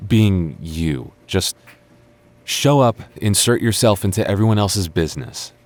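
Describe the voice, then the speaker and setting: Low male voice, actor as young guy, defensive and teasing, halting stammer, secretly smitten, boyfriend audio roleplay. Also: animated. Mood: flustered, earnest. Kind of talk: intimate boyfriend ASMR roleplay acting.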